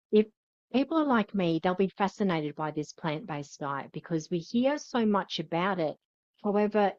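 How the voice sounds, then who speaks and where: A woman's voice: mid-range voice, darkish tone, no echo, dull audio. A woman hosting an interview, introducing her guest in an enthusiastic way. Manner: enthusiastic, upbeat. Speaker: host.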